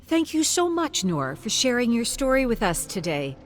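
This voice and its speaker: A woman's voice: on the high side, very animated, normal speed, slight background noise, voice pushed up. A woman voicing a confident, polished and defiant female talk-show host in a dramatic story reading. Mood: bright, presenting. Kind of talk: dramatic audiobook character voice.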